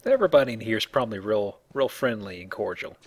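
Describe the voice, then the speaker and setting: A man's voice: lowish voice, animated, quick pace. Wry man playing a game. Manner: wry, joking. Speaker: let's play host and player. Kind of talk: casual gameplay commentary.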